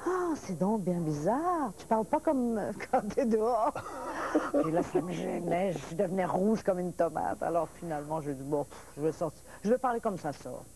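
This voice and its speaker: A woman in her sixties born in Paris, a lively talk-show guest whose French mixes Parisian and Quebec speech, telling stories with restarts. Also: on the low side, moderate pace, crowd noise, low-quality recording. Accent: mixed Parisian and Quebec French. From France. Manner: lively storyteller, restarts and laughs, points her words. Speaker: actress guest. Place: TV studio set, seated at table.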